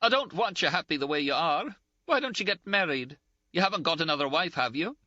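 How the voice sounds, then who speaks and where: A man voicing a tearful, scolding young Scottish nurse, shrill and sobbing in an audiobook. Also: expressive, a little fast, sharp tone, dry recording, dull audio. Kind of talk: audiobook character voice.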